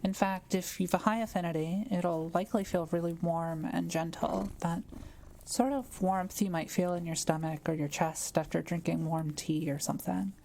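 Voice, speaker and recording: Kind witch played by a woman for audio roleplay: lowish, soft-edged tone, patient and reassuring. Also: steady tone, normal speed, a little hiss or hum, close to an everyday voice. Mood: patient, explanatory. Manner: gentle, careful, explanatory. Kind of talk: intimate one-to-one audio roleplay, conversational.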